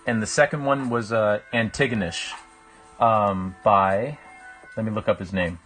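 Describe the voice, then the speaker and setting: A man's voice: on the low side, fairly even intonation, measured pace, a bit bright. Reflective man chatting over music. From Canada. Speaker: live-streaming painter. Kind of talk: casual chat with livestream viewers. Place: small indoor room with a painting on the wall.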